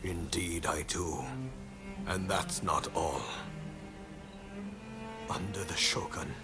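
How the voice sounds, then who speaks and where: An actor playing a proud, stern lord in a game cutscene, with a formal, commanding, deliberate manner. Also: male voice, fairly low pitch. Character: proud, stern lord of duty and political ambition. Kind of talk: dramatic video game cutscene acting. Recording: music behind the voice.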